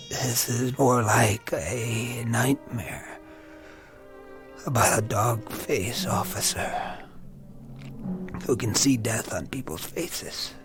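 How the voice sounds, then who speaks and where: An actor playing a tormented, bitter young army lieutenant in an intense radio drama style. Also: male voice, medium pitch. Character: tormented young army lieutenant who sees death on faces. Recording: radio drama with music underneath.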